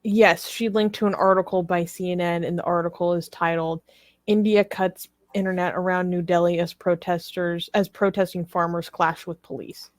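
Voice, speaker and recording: A woman's voice: fairly low pitch, level tone, moderate pace, no room sound, clean studio sound. A woman co-hosting a live discussion, reading articles aloud and commenting on them. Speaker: talk show co-host.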